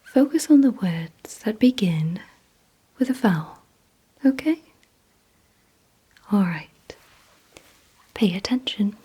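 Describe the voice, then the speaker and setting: A woman performs an ASMR roleplay in a very breathy, mostly whispered voice. She speaks extremely slowly and deliberately, gently giving commands and instructions to the listener. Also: medium pitch, expressive. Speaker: ASMR creator playing a vampire giving memory and attention tests.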